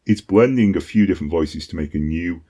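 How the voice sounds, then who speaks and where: Deep-voiced British man explaining casually. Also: a little fast, warm tone, husky. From Britain. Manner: conversational, frequent ums and "okay?" check-ins. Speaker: online course instructor.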